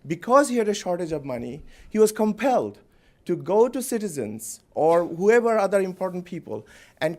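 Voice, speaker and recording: A man's voice: high voice, a little mellow. A middle-aged man from Bangladesh speaking on a conference panel, unscripted, with many fillers and some dry jokes. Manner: unscripted, halting with fillers, joking. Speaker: panelist, center director. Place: lecture hall.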